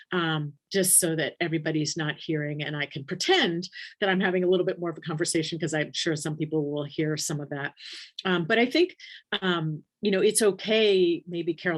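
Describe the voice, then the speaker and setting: A woman teaching an online nursing class in a very low, deep voice. She talks casually and thinks aloud, with plenty of ums, restarts and asides. Her pitch sits near the bottom of the usual range for women. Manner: warm conversational, many ums, thinks aloud. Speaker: palliative care nurse educator. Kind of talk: casual webinar teaching, reading chat questions.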